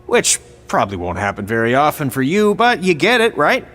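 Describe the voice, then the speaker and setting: An actor playing a sarcastic, blunt veteran wrestling trainer in video game dialogue. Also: male voice, a little high, wildly swooping pitch, a little fast, fairly bright tone, faint music behind. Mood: mocking.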